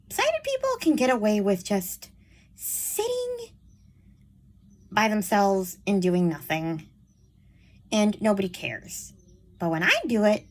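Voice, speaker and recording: A woman chats to her audience in a voice that sounds childish and young. Her pitch swings up and down a lot as she rambles. She speaks frankly and uses plenty of fillers. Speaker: vlogger. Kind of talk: casual chat to camera.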